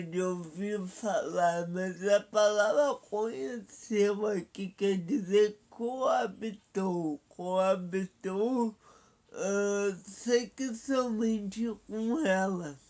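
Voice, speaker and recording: A man preaching a Bible sermon. He has a cold, so his voice is nasal and stuffy, and he speaks in a deliberate way, pausing between phrases. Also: sits high, darkish tone. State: has a cold, blocked nose.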